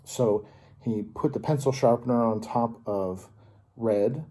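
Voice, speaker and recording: A man reading a picture book aloud to children as a storyteller, expressively and with dramatic pauses. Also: medium pitch, warm tone.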